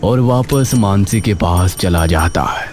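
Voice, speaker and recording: A man narrating a scripted horror cartoon story in a slow, dramatic way. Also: low voice, a little mellow, a little hiss or hum. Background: background music.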